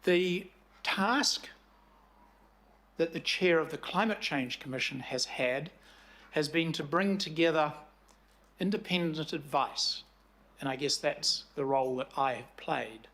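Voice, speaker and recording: A man in his sixties with a New Zealand accent, presenting to a council committee as a commission chair in a measured way, with frequent fillers. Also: on the high side, a bit bright. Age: 60s.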